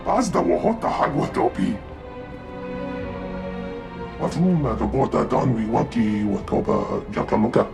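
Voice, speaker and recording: A man voicing an animated, gravelly alien who speaks an invented tongue in a video game. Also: mid-range voice, unhurried, pauses often. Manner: animated, swooping. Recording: music behind the voice.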